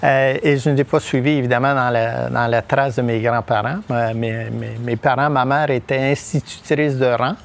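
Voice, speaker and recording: A middle-aged man from Quebec with a Québécois accent, talking as a podcast guest, halting often with fillers and restarts. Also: mid-range voice, steady tone, creaky. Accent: Québécois French. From Quebec, Témiscamingue. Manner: hesitant, many fillers and restarts. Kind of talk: podcast interview.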